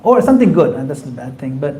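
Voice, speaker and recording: A man's voice: medium pitch, big pitch swings, quick pace, dark tone, slight background noise. A man giving a conversational temple class, acting out quoted voices as he explains. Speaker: spiritual teacher. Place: temple hall.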